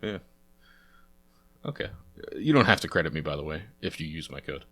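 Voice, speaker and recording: Low-voiced stuffy-nosed man chatting through games. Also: very animated, normal speed, a bit bright. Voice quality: sniffly, congested. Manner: chatty, rambling, lots of uh fillers. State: stuffy nose from a cold. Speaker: let's play host. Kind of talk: casual game playthrough commentary.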